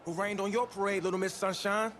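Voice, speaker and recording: A man's voice: high voice, steady tone, quick pace, a bit bright, a little hiss or hum. A man playing a teasing, straight-talking young friend in a naturalistic video game cutscene. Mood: teasing, frank. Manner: teasing, frank.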